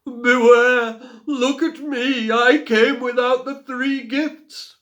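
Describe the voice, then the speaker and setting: A man's voice: extremely high pitch, steady tone, takes it slowly, fairly bright tone, dull audio, pitched above the performer's own voice, exaggerated voice. A man voicing wailing ghosts who cry out mournful warnings in a storybook reading. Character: wailing pale ghosts of failed craftsmen.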